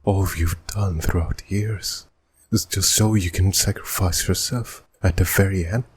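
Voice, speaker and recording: Game dub: man playing grave dragon sovereign judge, male. Low, heavily husky, measured, formal, sorrowful. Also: fairly even intonation. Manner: formal, measured, deliberate questioning. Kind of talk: video game dub voice impression.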